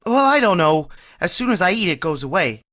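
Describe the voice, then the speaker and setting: A woman's voice: fairly low pitch, sing-song, a little fast, fairly bright tone. A woman playing a bashful, stammering young man. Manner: stammering, timid. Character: bashful, tongue-tied young man of twenty-one. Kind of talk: vintage radio play acting.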